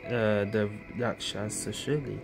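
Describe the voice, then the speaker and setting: A woman's voice: extremely low pitch, steady tone, measured pace, vocal fry. A woman streaming a video game, giving casual reactions as she plays along. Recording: game audio music behind voice.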